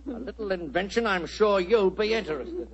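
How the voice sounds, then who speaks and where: An actor playing a gloating, menacing ringleader of assassins in an old radio drama, sneering and taunting with mock politeness. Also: male voice, sits high, expressive, a little fast, dull audio, a little hiss or hum. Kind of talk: 1940s radio drama acting. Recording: old-time radio broadcast recording.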